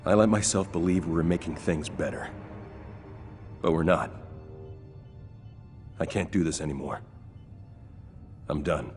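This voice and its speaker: Very deep-voiced disillusioned hero. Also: male voice, a little slow, pauses often, a little mellow. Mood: troubled, resolute. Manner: earnest, firm. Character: disillusioned male speedster superhero, conscientious, resolute. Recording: music behind the voice.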